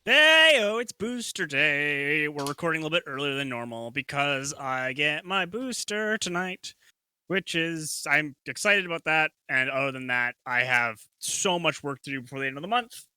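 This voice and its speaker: Male co-host, higher voice, very bright edge, wry quips, dry sound. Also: animated, clear, resonant tone, no echo. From East Coast of North America. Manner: wry, quick jokes. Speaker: podcast co-host. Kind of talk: casual podcast chat.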